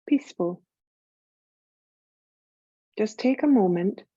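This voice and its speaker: A woman guiding a scripted meditation as a yoga nidra teacher, calm and soothing, unhurried, with long pauses between phrases. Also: medium pitch, dry recording, muffled recording.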